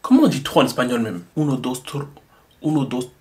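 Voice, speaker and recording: A young man talking straight to his viewers in a casual, animated way, asking questions and pausing to think aloud. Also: medium pitch. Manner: animated, rhetorical, addresses viewers directly. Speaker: YouTuber. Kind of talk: casual talk to camera.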